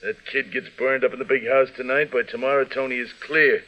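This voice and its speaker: An actor playing a brutal, impatient gangster thug in an old radio drama, talking in gruff slang. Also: male voice, medium pitch, steady tone, a little fast, muffled recording, close to an everyday voice. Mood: impatient, callous. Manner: gruff, slangy tough-guy talk. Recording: vintage radio recording.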